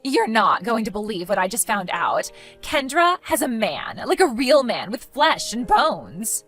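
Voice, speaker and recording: A woman's voice: on the high side, big pitch swings, unhurried, a bit bright, faint music behind, heavily acted. A woman voicing a catty, condescending, gossipy sister-in-law in an exaggerated, melodramatic style for a dramatized story. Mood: urgent gossip. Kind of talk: dramatized text-message story voice acting.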